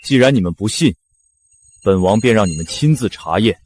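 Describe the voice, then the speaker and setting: A man's voice: on the low side, expressive, brisk, noisy background, close to an everyday voice. An actor playing a commanding, righteous young prince in a costume drama, stern and formal in his speech. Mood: stern, measured. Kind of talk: costume short-drama acting.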